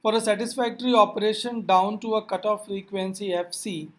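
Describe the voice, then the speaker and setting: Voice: mid-range voice, fairly even intonation, a little fast, fairly bright tone. A physics teacher giving an explanatory lecture, addressing learners directly with reminders in a didactic way.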